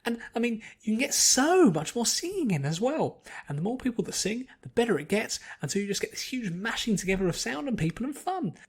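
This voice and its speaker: A man voicing a bubbly, hyperactive young female cartoon pony, gushing and rambling excitedly. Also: very high voice, fairly quick, hardly any pauses, husky, pitched above the performer's own voice, heavily acted. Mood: gushing, delighted. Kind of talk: fan fiction audiobook character voice.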